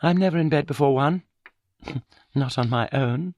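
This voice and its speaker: A man's voice: a little high, animated, normal speed, a little mellow, no echo, voice pushed up, lightly acted. Tipsy cynical woman, man putting on the voice, wry and loose, dry close sound. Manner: wry, loose, self-mocking. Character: boozy, self-mocking woman, cynical and flirtatious. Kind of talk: audiobook character voice.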